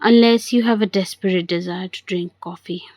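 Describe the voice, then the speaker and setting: A woman's voice: medium pitch, steady tone, moderate pace, darkish tone, no echo, voice pushed down, close to an everyday voice. A woman reading the part of a spirited, teasing young fiancée in an amateur audio play. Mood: brisk.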